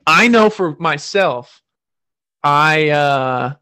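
A man hosts a podcast in a relaxed, unscripted way. He rambles and restarts his sentences often, and his voice sits a little higher than most men's. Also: drawn-out words, smooth. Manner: loose, rambling, frequent fillers and restarts. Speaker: podcast host. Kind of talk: casual podcast chat.

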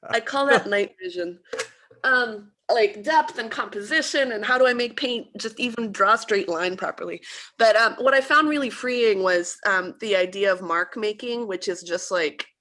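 A woman's voice: mid-range voice, normal speed, sharp tone. A woman coach introducing herself on a video call, hesitant and full of fillers and restarts. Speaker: coach and hobby painter.

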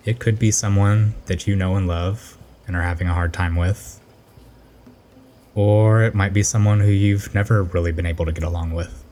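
A man narrating a guided meditation in a gentle, unhurried instructional manner, letting his phrases fall softly at the end. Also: low-pitched, steady tone, slight background noise.

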